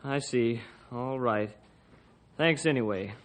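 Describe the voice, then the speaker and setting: A man's voice: mid-range voice, slow, close to an everyday voice. An actor playing an earnest, polite, deferential teenage boy in old-time radio style. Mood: downcast. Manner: deferential, eager. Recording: heavy background noise on old radio.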